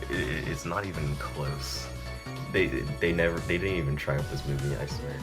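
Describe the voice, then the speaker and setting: A young man casually reviewing a movie, chatty and exasperated, with lots of fillers and short laughs. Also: extremely low pitch. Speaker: video reviewer.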